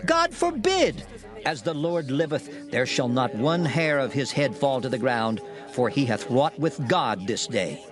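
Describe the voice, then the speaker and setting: A man's voice: medium pitch, very animated, measured pace, over music. A man playing an outspoken, loyal Israelite in a dramatized scripture recording, declaiming emphatically. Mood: indignant, pleading.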